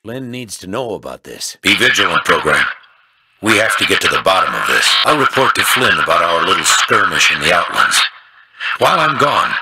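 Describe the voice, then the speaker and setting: An actor playing a stern, dutiful security warrior program in a video game, giving clipped, earnest orders. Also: male voice, sits low, big pitch swings, moderate pace, heavy background noise. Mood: firm, encouraging. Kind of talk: scripted video game voice acting.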